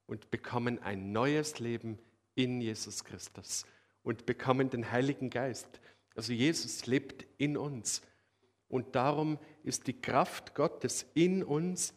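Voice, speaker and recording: A man with an Austrian accent, a pastor preaching a sermon in an earnest, emphatic way. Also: mid-range voice.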